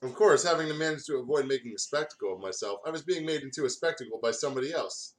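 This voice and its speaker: A man's voice: mid-range voice, lively intonation, fast talker. A man reads fan fiction aloud in a casual way, stumbling over words and breaking off for joking, sweary banter. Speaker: guest reader on a gaming video.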